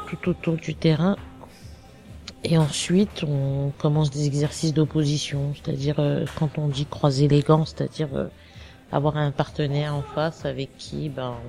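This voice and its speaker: Gravelly-voiced woman from Cameroon. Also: sits low, dark tone. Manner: halting, many fillers and restarts. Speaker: interviewee. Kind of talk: casual personal testimony.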